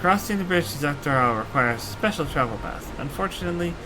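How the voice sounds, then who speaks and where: A man's voice: on the high side, lively intonation, quick pace, background noise, natural voice. A man voicing a proud, eager young princess from a fantasy game, explaining her homeland earnestly. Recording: game music under the voice.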